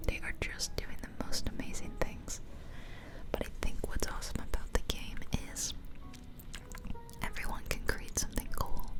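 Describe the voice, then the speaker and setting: A woman whispering softly and breathily, close to the mic, giving casual, gentle ASMR commentary in a rambling, intimate way. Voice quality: soft, breathy unvoiced whisper. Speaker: ASMR video host. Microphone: close ear-to-ear ASMR microphone.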